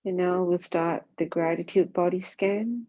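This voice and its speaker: A woman guides a body scan meditation, speaking calmly and gently in short, spaced phrases. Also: fairly low pitch, a little slow. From Singapore. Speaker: mindfulness meditation teacher. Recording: dull, muffled lo-fi sound.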